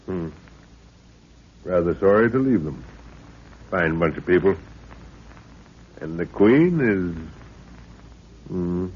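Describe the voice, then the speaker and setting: An actor playing a brisk, commanding professor who leads a jungle expedition in a 1930s radio drama, authoritative and courteous. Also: male voice, sits low, expressive. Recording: muffled, noisy 1930s radio recording.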